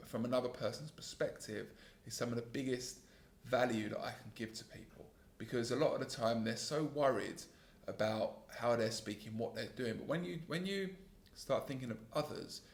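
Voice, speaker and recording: Gravelly male podcaster in his 30s, mid pitch, calm and earnest, reflective solo monologue, echoey home setup. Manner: calm, earnest, self-critical, with frequent restarts. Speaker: podcast host and sales trainer.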